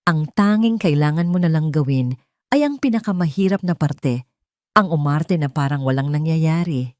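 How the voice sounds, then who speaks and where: A woman's voice: low voice, expressive, moderate pace, darkish tone, no room sound, studio quality. Woman voicing a firm, commanding male adviser. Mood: firm, assured. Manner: firm, assured, clipped. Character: calm, commanding male adviser plotting with a wife.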